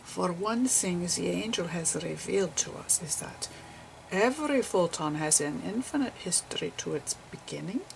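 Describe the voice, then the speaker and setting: A woman voicing an eccentric, digressive physicist professor in a whispery, halting ASMR roleplay lecture. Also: on the low side, noisy background, exaggerated voice. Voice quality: whispery, breathy. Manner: halting, pedantic, rambling.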